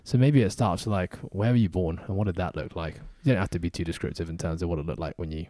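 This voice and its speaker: A man hosting a podcast, talking in a chatty, upbeat way with joking asides. His voice sits a little low and sounds mellow and warm. The sound is dry and close, with no room echo. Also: fairly even intonation, fast talker. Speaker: podcast host. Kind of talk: casual podcast interview. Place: podcast studio in Hong Kong.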